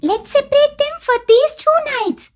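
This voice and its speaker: A tiny talking eggplant from an animated fable. The voice is extremely high, squeaky and childlike, with lively swings as it pleads and offers help. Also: female voice, moderate pace. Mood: helpful, matter-of-fact. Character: small talking magic eggplant, pleading and helpful. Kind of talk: animated moral story dialogue.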